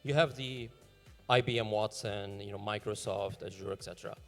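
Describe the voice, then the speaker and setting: A man pitching his startup and answering questions on stage, talking fast with many fillers and restarts. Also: steady tone, big-room sound, faint music behind.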